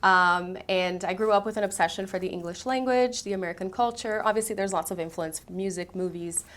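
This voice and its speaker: A woman from Brazil talks through her story as an interview guest. Her pitch sits in the middle. She speaks earnestly and reflectively, with animated turns. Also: brisk. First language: Portuguese. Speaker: guest, singer and speaker coach. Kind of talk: interview conversation.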